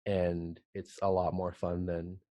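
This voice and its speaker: Slow, low-voiced man from El Salvador. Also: completely flat delivery, mellow, no echo. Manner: halting, thoughtful, many pauses and restarts. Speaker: guest, art director. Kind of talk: casual podcast interview.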